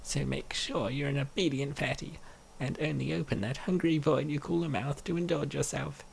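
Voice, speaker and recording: A man reads out comic dialogue in character. His voice is heavily fried and gravelly, set a little higher than most men's. Faint noise sits behind the recording. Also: steady tone, moderate pace, rough recording. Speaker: comic dub voice actor. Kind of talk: acted reading of comic dialogue.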